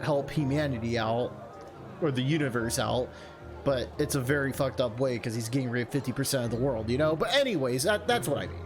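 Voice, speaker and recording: A man hosting a casual, chatty let's-play, rambling and interrupting himself with enthusiastic asides. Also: medium pitch. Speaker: video game streamer and host. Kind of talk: casual, chatty let's-play commentary with some read-aloud game text. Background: game background music.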